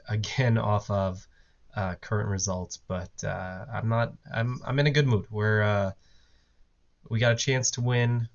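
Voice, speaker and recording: Hoarse man rambling as a fan podcast host. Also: lowish voice, dull audio. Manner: rambling, many "uh" fillers and restarts. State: hoarse from a lingering cough. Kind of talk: casual solo fan podcast. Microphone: desk mic, on video.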